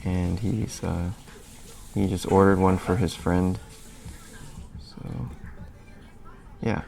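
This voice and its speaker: Low gravelly man chatting on a livestream. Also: slow, faint music behind. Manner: relaxed, rambling, reads and answers chat. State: a little sniffly. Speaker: livestream host. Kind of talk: casual livestream chat.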